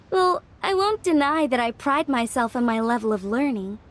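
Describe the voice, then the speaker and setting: A woman's voice: high voice, lively intonation, unhurried. A woman voicing a polite, learned young woman in a video game, eager and formal in manner. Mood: courteous, modest. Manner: formal, courteous. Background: game music.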